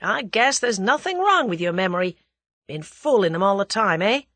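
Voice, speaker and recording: A woman's voice: medium pitch, very animated, normal speed, fairly bright tone, dry recording. A woman voicing a brash, slangy, hot-tempered young man. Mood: gentle.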